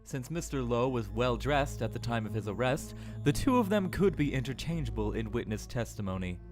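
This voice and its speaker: A man playing a precise, probing male prosecutor in an audio drama, formal and deliberate. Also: medium pitch, lively intonation, faint music behind, natural voice. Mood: formal, assured.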